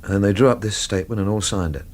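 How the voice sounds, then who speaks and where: An actor in a radio drama playing a man who asks short, hushed, probing questions. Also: fairly low pitch, fast, lightly acted. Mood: stunned disbelief. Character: shocked, probing man questioning a former soldier.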